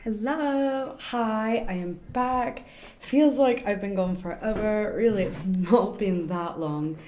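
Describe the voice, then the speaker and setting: A woman's voice: medium pitch, takes it slowly, warm tone. A young adult woman streaming a game, chatting casually in a rambling, informal way. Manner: rambling, informal, self-interrupting. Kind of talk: casual livestream chat while gaming. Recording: roomy echo, faint noise.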